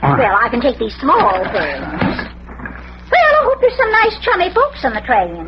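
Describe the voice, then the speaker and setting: A woman's voice: sits high, wildly swooping pitch, unhurried, full character voice. A woman acting a chatty, folksy, inquisitive housekeeper in 1940s radio style. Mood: chatty, curious. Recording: noisy, muffled old radio recording.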